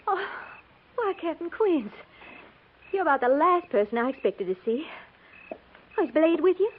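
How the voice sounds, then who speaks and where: An actress playing a fearful, grieving young frontier wife in a 1950s radio western, speaking hesitantly with a tremor. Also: female voice, sits high, very animated, moderate pace, narrow, tinny audio. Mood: flustered. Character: frightened, grieving young frontier wife, new to the hard life. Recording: scratchy, phone-thin 1950s radio recording.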